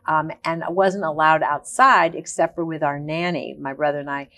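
Low-voiced woman around sixty, reflective. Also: fairly even intonation, normal speed, a bit bright. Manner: reflective, talks in long searching sentences with many ums. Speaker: guest, activist. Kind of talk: life-story interview. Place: TV studio set.

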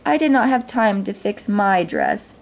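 A woman voicing a gentle, earnest, downtrodden young woman in a storybook fairy tale. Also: medium pitch, big pitch swings, a little slow, creaky. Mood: dejected.

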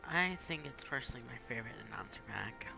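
A man's voice: mid-range voice, lively intonation, quick pace, vocal fry, over music. A young man giving a casual, improvised screen-recorded tutorial in an offhand way. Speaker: gaming tutorial maker. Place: indoors at a home computer.

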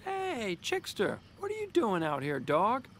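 A man playing a cocky, sarcastic young race car in an animated video game, breezy and smug. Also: sits high, measured pace, a little hiss or hum, exaggerated voice. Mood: smug, teasing.

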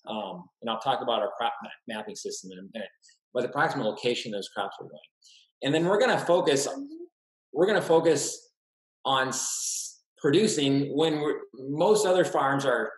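Man lecturing in an echoey room. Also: mid-range voice. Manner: practical, steady, many "uh" fillers and restarts. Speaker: farmer giving a webinar talk. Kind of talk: slide presentation lecture. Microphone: webcam on a video call. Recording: roomy echoey webcam audio.

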